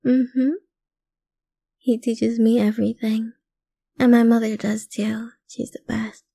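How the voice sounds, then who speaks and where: Woman acting as obsessive lovesick mafia daughter, ASMR roleplay, sweetly menacing, soft and breathy, drifting into whispers, very slow, close dry mic. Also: on the high side, level tone, dry recording, heavily acted. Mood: calmly menacing.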